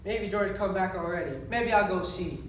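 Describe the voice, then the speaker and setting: A young man acts a simple, fiercely loyal farmhand in a script reading. He speaks loudly and insistently in plain rustic speech, high in pitch. The echoey sound puts him away from the mic. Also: normal speed, a little hiss or hum. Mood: anxious. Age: young adult. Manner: loud, insistent, simple rural American dialect. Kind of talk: readers' theatre script reading. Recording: echoey room, distant mic.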